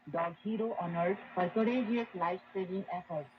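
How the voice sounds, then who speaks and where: A woman's voice: on the low side, normal speed, lo-fi sound, slight background noise. A woman presenting scripted news narration, heard through a shortwave radio broadcast.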